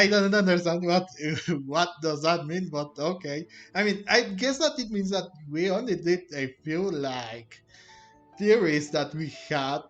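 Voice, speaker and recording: A man's voice: on the high side, a bit bright, muffled recording. A man giving casual, improvised game commentary in a hesitant, puzzled way, with music behind him. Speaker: gaming video commentator.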